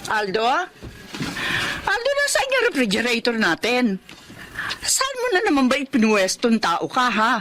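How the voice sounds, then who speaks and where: A woman's voice: on the high side, wildly swooping pitch, measured pace, pauses often, fairly bright tone, soft background music, exaggerated voice. A woman acting a weary, exasperated older housewife in a Filipino radio drama. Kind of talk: Tagalog radio drama acting, narration and dialogue.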